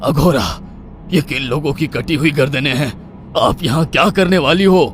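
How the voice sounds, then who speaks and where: A man's voice: a little high, moderate pace, faint music behind, pitched above the performer's own voice, natural voice. A man playing a greedy, gullible, scheming husband in a horror cartoon story, speaking dramatically. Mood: alarmed.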